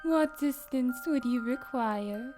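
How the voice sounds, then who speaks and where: Riddling witch chanting slow prophecies, woman voicing her. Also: sits high, darkish tone, soft background music. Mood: ominous. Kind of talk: fan audio drama acting.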